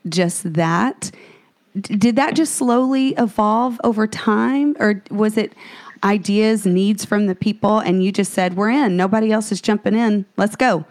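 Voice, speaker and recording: A woman co-hosting a faith podcast, talking with earnest fervor. She restarts her sentences often and drifts into a preacher-like cadence. Her voice sits at an ordinary middle pitch for a woman. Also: measured pace. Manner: fervent, preachy, many restarts. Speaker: podcast co-host.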